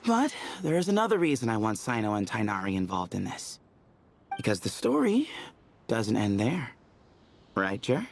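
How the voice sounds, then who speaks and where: Confident young temple leader, game character, breezy, wry, mid-pitched, expressive. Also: moderate pace, vocal fry, exaggerated voice. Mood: matter-of-fact. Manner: casual, wry, self-assured. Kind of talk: video game voice acting, conversational.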